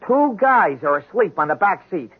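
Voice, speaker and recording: A hapless, earnest street drunk in a vintage radio mystery. His husky voice jumps around as he stammers in rough slang. The sound is muffled and thin. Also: sits high, big pitch swings, normal speed, heavily acted. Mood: excited, stammering. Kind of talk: 1970s radio drama acting.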